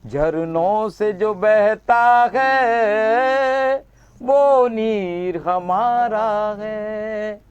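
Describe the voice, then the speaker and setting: A man's voice: high-pitched, drawn-out words, clean tone, dry recording. A man reciting patriotic verses at a poetry session, repeating each couplet and sometimes singing them in a melodic line. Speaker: poet.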